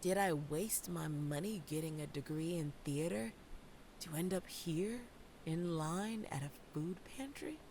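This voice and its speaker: An actress playing a wry, candid young theater graduate in a dramatic first-person audio play monologue addressed to the audience. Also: female voice, low voice, measured pace, mellow, a little hiss or hum. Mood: ashamed, bitter. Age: young adult. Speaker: actor narrating an audio play.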